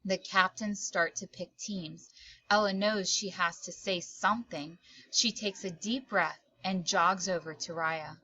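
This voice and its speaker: Bright-voiced woman reading a picture book aloud. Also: lowish voice, steady tone, muffled recording. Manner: dramatic, deliberate phrasing. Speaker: storyteller reading aloud to children. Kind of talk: expressive picture book read-aloud narration.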